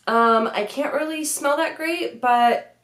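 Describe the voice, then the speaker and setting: A woman's voice: high-pitched, takes it slowly, fairly bright tone, roomy sound. Chatty young woman with a stuffy cold. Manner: chatty, upbeat, many "like" fillers. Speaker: beauty vlogger. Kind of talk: casual product review. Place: bedroom vanity room, indoors.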